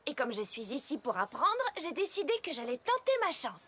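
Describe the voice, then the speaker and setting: A woman voicing a sensible, studious young pony who reasons and gently lectures in a French cartoon dub. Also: sits high, very animated, fairly quick, breathy edge, exaggerated voice. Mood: cheerful, resolute. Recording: noisy background with clicks.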